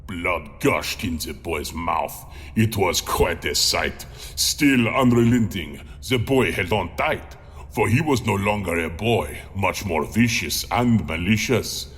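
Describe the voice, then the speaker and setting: A man playing a monstrous man-eater, reciting a grim rhyming memoir in a slow, theatrical, deliberate manner with long reverb. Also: low-pitched, lively intonation, a bit bright, heavily acted. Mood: grim relish. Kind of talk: theatrical rhymed storytelling.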